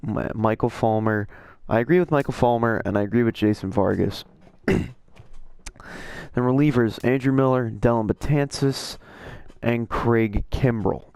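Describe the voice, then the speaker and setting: A man with a hoarse, slightly worn-out voice gives casual, rambling commentary as a YouTube creator, often correcting himself mid-sentence as he shares his opinions. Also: mid-range voice, measured pace, darkish tone, no room sound. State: hoarse, voice a little shot. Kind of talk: casual commentary over an on-screen roster.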